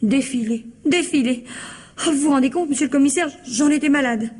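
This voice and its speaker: An actress playing a naive, indignant, chatty young housemaid in a French radio mystery play, with colloquial, gushing, plaintive delivery. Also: female voice, high voice, a little fast, darkish tone, lightly acted.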